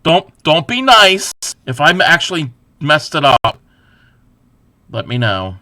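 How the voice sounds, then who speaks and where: A man crocheting on a livestream, counting stitches softly under his breath and chatting casually with viewers. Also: fairly high pitch, wildly swooping pitch, slow pace, dry recording. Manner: laughs at himself, chats back to viewers.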